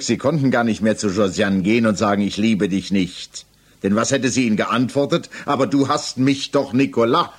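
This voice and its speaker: A sceptical examining magistrate in an old radio crime drama. His low, husky voice lays out each point precisely. He presses his questions with methodical, pointed insistence. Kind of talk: German 1960s radio play acting.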